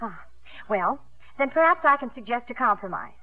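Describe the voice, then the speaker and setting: A woman's voice: on the high side, sing-song, normal speed. An actress playing an earnest, ambitious young woman swimmer in a 1950s radio drama. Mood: earnest, polite. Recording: muffled old 1950s radio broadcast.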